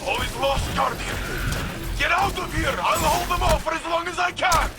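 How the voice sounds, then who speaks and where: Brief: zealous, battle-worn game hero, anguished and declamatory, very high pitch, slow delivery over prominent music. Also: male voice, animated, creaky, full character voice. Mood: desperate fury. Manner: impassioned, declamatory, heavy with anguish. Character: battle-weary heroic male warrior, anguished and zealous. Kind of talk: dramatic video game voice acting.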